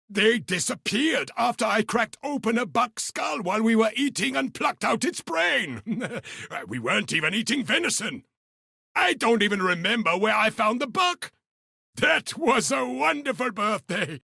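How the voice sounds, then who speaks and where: Game casting: eccentric, cheerfully mad beast hunter; high, wildly swinging pitch, theatrical and exuberant. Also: male voice, gravelly, pushed and bright, slightly rough, no echo, studio quality, exaggerated voice. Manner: third-person self-talk, theatrical, exuberant delivery. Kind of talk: video game character voice lines.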